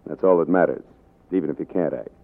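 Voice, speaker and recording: An actor playing a blunt, shrewd studio boss, gruff, musing and decisive, in 1940s radio style. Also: male voice, rumbling low, fast talker. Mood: blunt, candid. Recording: humming, narrow-band 1940s radio recording.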